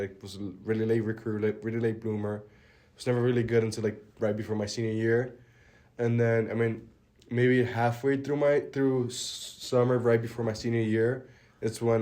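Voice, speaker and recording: A young man from the Dominican Republic, a college baseball pitcher, answering interview questions in a relaxed, plainspoken way and letting his phrases trail off quietly. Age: young adult.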